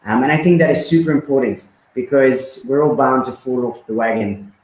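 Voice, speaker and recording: A man's voice: medium pitch, a little fast, a little mellow, reverberant, low-quality recording. A man in his thirties from Zimbabwe, a guest chatting casually with many fillers and repeats. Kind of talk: casual conversation.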